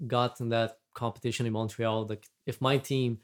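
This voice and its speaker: A man's voice: mid-range voice, level tone, normal speed. Brazilian-accented guy chatting about games, rambly, keeps restarting his sentences. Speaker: podcast guest. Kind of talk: casual chat.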